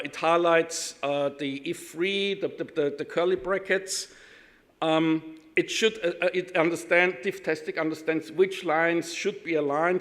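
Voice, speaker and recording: Halting Austrian German-accented male speaker. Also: fairly high pitch, a bit bright. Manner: halting, many ums and restarts; dry self-deprecating humor. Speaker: conference speaker. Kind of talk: technical conference talk.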